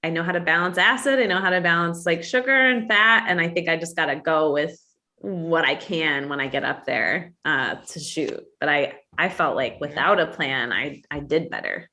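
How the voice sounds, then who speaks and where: A woman with a very low but bright, forceful voice talks earnestly about her cooking. She rambles and circles back on her thoughts. The delivery is casual and sincere. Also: normal speed. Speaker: guest, cook on a competition show. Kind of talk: casual group chat.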